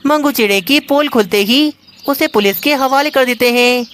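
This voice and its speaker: A woman reading scripted narration for a children's animated story. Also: sits high, normal speed, a little hiss or hum.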